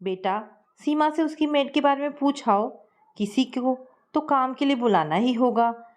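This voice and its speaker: A woman voicing an affectionate middle-aged mother-in-law, gentle and fondly scolding. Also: sits high, a little slow.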